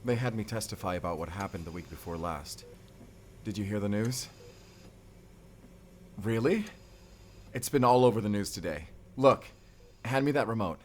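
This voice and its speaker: An actor playing a devoted lover and gentle father, speaking softly and intimately in a cinematic audio roleplay. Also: on the low side, normal speed, long pauses, slight background noise, close to an everyday voice. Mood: relieved.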